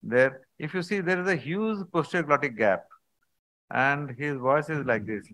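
A man, a surgeon, explaining surgical video clips in a halting conference talk over a video call. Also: on the high side, fairly bright tone. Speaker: surgeon presenting cases.

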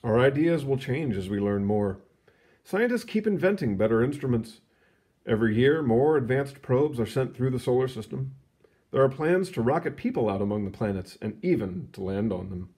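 Expressive man reading aloud, very animated intonation. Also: medium pitch, normal speed, husky. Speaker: read-aloud narrator. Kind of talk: reading a children's science book aloud, with short asides.

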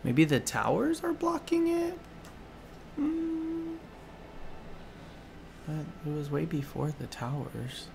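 A man's voice: on the high side, huge pitch swings, takes it slowly, gravelly, long pauses. A man casually and playfully reacting to game cutscenes as a streamer, indoors at a desk microphone. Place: indoor room at a computer. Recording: faint background game noise.

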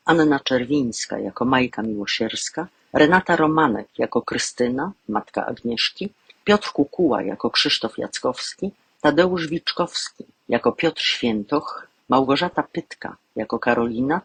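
A man's voice: medium pitch, fairly bright tone, husky, no room sound. A man reading scripted closing credits as the announcer of an audio drama.

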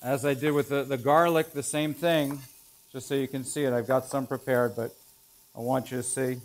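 A man in his forties, a chef instructor, explaining each step in a relaxed way as he cooks in a large teaching kitchen. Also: mid-range voice, moderate pace. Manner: relaxed, explanatory, thinking aloud while working. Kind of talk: cooking demonstration. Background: food sizzling in a pan.

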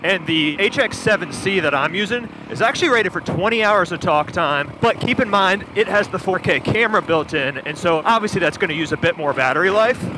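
A man riding a motorcycle, explaining gear features in detail, matter-of-fact, over a helmet intercom. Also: high-pitched. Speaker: video producer reviewing gear. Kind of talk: explanatory casual chat. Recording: intercom audio with wind and engine noise.

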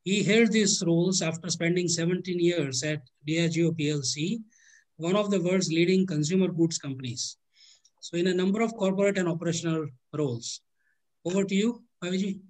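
A man moderating a webinar over a video call, reading out a speaker's introduction in a brisk way. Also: sits high, a little mellow, poor audio.

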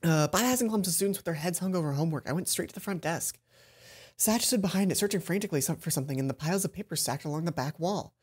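A man's voice: on the high side, fast, breathy edge. A young man hosting a let's play, reading visual novel narration aloud and adding casual commentary. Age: young adult. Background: faint game music.

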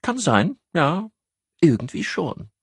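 A man's voice: fairly high pitch, big pitch swings, slow, slightly rough, no room sound, clean studio sound, pitched above the performer's own voice, exaggerated voice. A man voicing a composed, educated countess who explains things precisely, like a medical expert, in an audiobook. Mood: coy, hesitant.

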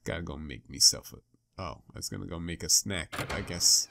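A man's voice: low voice, normal speed, vocal fry, noisy background. A man giving casual, playful, slangy commentary as he plays a video game. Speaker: let's player. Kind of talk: casual game commentary, reading dialogue aloud. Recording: game music behind. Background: game music.

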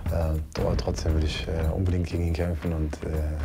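Very deep, flat-voiced fighter. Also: male voice, a little fast, music in the background.

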